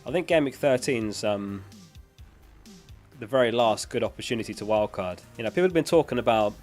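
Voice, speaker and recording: A man's voice: medium pitch, lively intonation, brisk, darkish tone, faint music behind. A man chatting casually as a livestream host, reading out and answering viewer questions in a matter-of-fact way, his voice starting to give out from being unwell. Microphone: close dynamic studio microphone.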